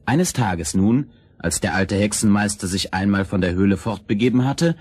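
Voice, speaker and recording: A man's voice: fairly low pitch, lively intonation, normal speed, darkish tone, breathy edge, no room sound. A man narrating a scripted fairy tale for a children's audio play.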